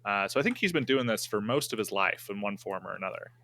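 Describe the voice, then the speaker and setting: A man playing a tabletop game, casually improvising his character's story in a halting, think-aloud way. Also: on the low side, lively intonation, sharp tone.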